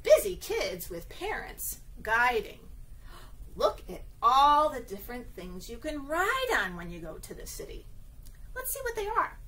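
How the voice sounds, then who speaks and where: A woman with a high voice that swoops widely as she reads to small children. She takes her time in a sing-song story-time manner, often checking in with little questions. There is some noise in the recording. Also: wildly swooping pitch, measured pace, pushed and bright, rough recording. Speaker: children's librarian reading a picture book. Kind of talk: read-aloud story time for young children.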